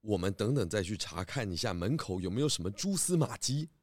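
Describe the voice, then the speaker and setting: A man playing a calm, polite pig detective who speaks in a measured, thoughtful way. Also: on the low side, dry recording. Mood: apologetic, thoughtful.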